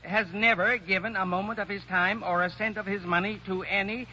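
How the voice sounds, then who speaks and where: An actor playing a fussy, kindly older small-town lawyer in a radio drama, muttering and hesitating in a folksy way. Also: male voice, high voice, steady tone, fairly quick, pushed and bright. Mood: wry. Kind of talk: 1940s radio drama acting. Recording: scratchy, muffled old radio broadcast.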